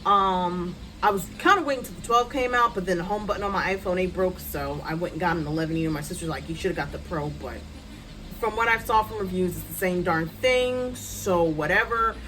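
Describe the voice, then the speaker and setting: A woman in her thirties, a writer, chatting casually to her phone's front camera at home, loud-voiced and rambling with many ums and asides. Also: lowish voice, brisk, poor audio. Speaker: writer vlogger. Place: hot room at home with a fan.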